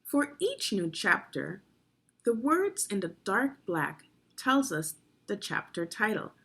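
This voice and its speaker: A woman narrating an instructional children's read-along as a teacher, phrasing deliberately and guiding young listeners through the text. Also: mid-range voice, sing-song, a bit bright, husky. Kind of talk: instructional read-aloud of a children's nonfiction book.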